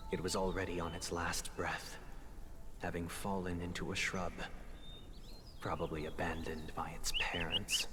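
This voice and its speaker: An actor playing a soft-spoken, courteous, brooding young mastermind in a video game, speaking in measured, formal, gently persuasive monologue. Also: male voice, low voice. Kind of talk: scripted video game dialogue, storytelling monologue. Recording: long echoing reverb, loud music behind. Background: game music.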